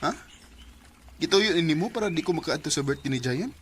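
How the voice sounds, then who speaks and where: A man's voice: a little high, expressive, a little fast, natural voice. An actor playing an evasive, easily flustered young male student in a radio drama, with a Filipino Bisaya accent and a hesitant, stammering delivery. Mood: irritated. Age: young adult. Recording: noisy radio drama recording with ambience.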